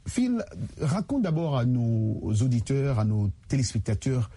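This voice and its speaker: A man's voice: medium pitch, big pitch swings, a little slow, dark tone, breathy edge. A man from Congo, a journalist hosting an interview, who stammers and repeats words. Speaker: host, journalist. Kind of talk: interviewing a guest.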